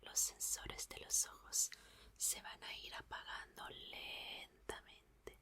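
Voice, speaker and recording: A woman whispers slowly in a soothing ASMR roleplay, as a gentle, reassuring scientist. Her whisper is bright and crisp-edged, and she repeats small words softly. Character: gentle, reassuring female scientist repairing an android.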